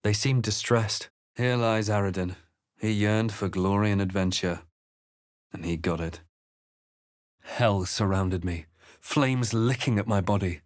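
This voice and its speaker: A man playing a grave, watchful fantasy adventurer in a video game, narrating in the first person with measured, dramatic delivery. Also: lowish voice, no room sound, clean studio sound, close to an everyday voice. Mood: grave narration.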